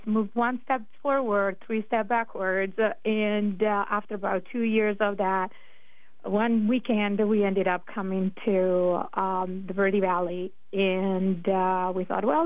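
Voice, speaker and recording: Slow Italian-accented woman on a phone-thin line. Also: mid-range voice, no room sound, narrow, tinny audio. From northern Italy. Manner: slow, hesitant, many fillers. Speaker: radio guest. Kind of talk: casual radio interview.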